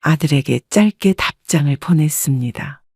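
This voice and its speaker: A woman in her sixties narrating her own story in the first person, calm and reflective. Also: low-pitched, moderate pace, husky, no room sound, studio quality.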